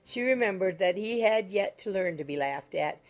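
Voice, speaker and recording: A woman's voice: mid-range voice, animated, normal speed, dull audio, soft background music. A woman reading scripted literary narration as the narrator of a classic audiobook.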